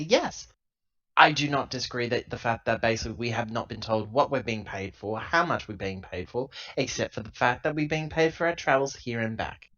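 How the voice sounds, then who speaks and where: A man playing a tabletop role-playing game, improvising dialogue and narration in a halting, self-correcting, emphatic way on a lo-fi recording. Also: lowish voice, a bit bright. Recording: dull lo-fi laptop recording.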